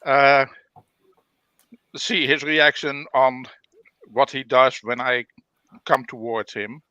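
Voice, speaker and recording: A man talking casually as a player in a tabletop roleplaying game, halting as he thinks aloud. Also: medium pitch, steady tone, sharp tone, dry recording, lo-fi sound. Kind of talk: casual chat during game play.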